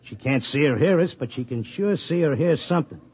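An actor playing a streetwise Broadway race tipster, talking blunt and slangy in a 1950s radio drama. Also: male voice, fairly high pitch, lively intonation, brisk, darkish tone, breathy edge. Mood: determined. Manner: slangy, blunt, tough-guy talk. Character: streetwise Broadway racetrack tipster, devoted husband. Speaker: narrator and lead.

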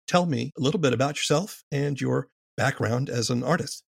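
A man hosts an interview podcast in a mid-range voice with a slightly husky edge. He moves between scripted introduction and questions, recorded close and dry. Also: medium pitch, moderate pace, dry recording.

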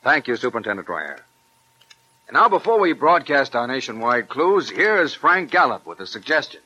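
A man's voice: mid-range voice, big pitch swings, normal speed, pushed and bright, breathy edge. A man hosting and interviewing in scripted 1940s radio style, on a lo-fi old recording. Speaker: program host interviewer.

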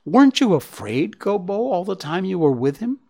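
A man's voice: high voice, big pitch swings, moderate pace, gravelly, dark tone, slightly rough, pitched above the performer's own voice, exaggerated voice. A man voicing a curious, eager young female deer asking questions in a storybook reading.

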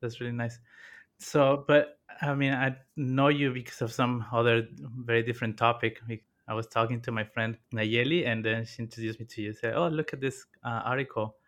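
A man hosting a podcast, introducing his guest and asking questions in a friendly, halting way. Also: mid-range voice, little pitch movement, a bit bright. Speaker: podcast host.

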